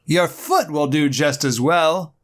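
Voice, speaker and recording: Man playing a low, steady, self-assured superhero, comic dub. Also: slow pace. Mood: stern. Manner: steady, reassuring, lecturing. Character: calm, confident male superhero.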